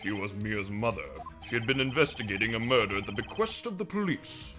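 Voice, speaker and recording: A man's voice: fairly low pitch, expressive, brisk, lightly acted. A man playing a weary, pompous older lawyer in a game fandub, formal and theatrical, weighed down by guilt. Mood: confessional. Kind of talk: visual novel game fandub acting. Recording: music behind the voice.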